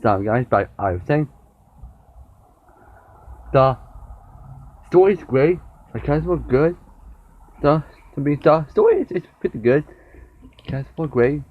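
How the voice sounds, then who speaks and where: A man's voice: on the high side, very animated, unhurried, pauses often. A young man with a sore throat reviewing a TV show in a casual vlog, rambling and often repeating and restarting his words. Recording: dull lo-fi with faint noise.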